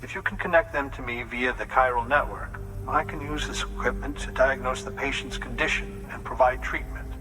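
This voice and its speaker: An actor playing a serious, precise doctor in a video game, speaking in a formal, explanatory manner. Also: male voice, medium pitch, normal speed, hardly any pauses, over music, natural voice, rough recording. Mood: instructive, serious. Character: serious, precise male doctor briefing a courier. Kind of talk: scripted game dialogue.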